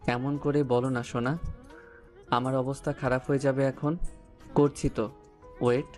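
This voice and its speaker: Man acting a young amorous boyfriend, even delivery, teasing, insistent, romantic audio drama, soft music behind. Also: medium pitch, normal speed, close to an everyday voice.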